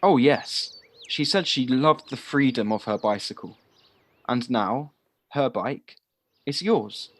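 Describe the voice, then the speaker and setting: A man's voice: mid-range voice, lively intonation, takes it slowly. A man acting a frank, earnest boyish village lad in an audio play, recorded at home. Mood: earnest, chatty. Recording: home recording on personal device, faint noise.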